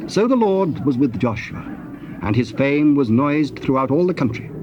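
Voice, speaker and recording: A man's voice: medium pitch, animated, moderate pace. A man narrating dramatized scripture in a solemn, formal storytelling manner. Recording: dull, noisy old cassette tape.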